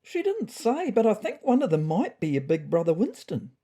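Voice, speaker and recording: A woman's voice: medium pitch, wildly swooping pitch, moderate pace, dark tone, slightly rough, pitched above the performer's own voice. A woman voicing a male cat friend in a comic story, explaining news in a matter-of-fact way. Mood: relaying news.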